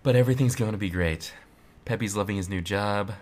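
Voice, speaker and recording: A man playing a soft-spoken fox pilot, deep-voiced. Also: fairly quick, a little hiss or hum, lightly acted. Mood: earnest. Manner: soft-spoken, hesitant, stammers. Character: young adult male fox ex-pilot, earnest, guilt-ridden. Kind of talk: fan audio drama reading.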